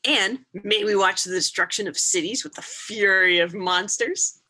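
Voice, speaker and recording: Woman doing an eager curious little kid, pleading in mock shakespeare, animated n a bit husky, stage drama reading. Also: medium pitch, moderate pace, rough recording. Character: eager, curious young child being babysat.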